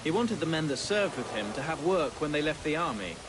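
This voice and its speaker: A man's voice: a little high, big pitch swings, brisk, background noise. A man acting as a matter-of-fact Victorian investigator recounting a case in a video game. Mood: explaining.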